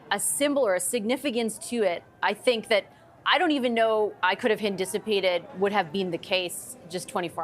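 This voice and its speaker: A woman's voice: on the high side, fairly bright tone. A woman with a Canadian accent hosting a live TV news discussion in a conversational, engaged way. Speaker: host of a political panel show.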